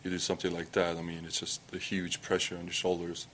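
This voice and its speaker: A young man from Estonia with an Estonian accent, answering press questions in halting English full of fillers and restarts. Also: monotone, fairly bright tone, slight background noise. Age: young adult. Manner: halting, many fillers and restarts, low-key. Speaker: athlete at a press conference.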